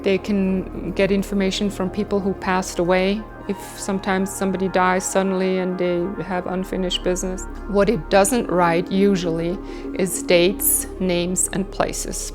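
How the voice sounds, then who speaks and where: A woman from Switzerland, a medium calmly and steadily explaining her work in a documentary interview. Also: mid-range voice, over music. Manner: calm, explanatory, measured. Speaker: automatic writing medium.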